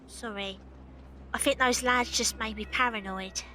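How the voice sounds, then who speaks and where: Woman playing a bright-voiced, guarded young circus runaway. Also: a little high, steady tone, unhurried, faint music behind. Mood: wary, uncertain. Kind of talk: historical audio drama acting.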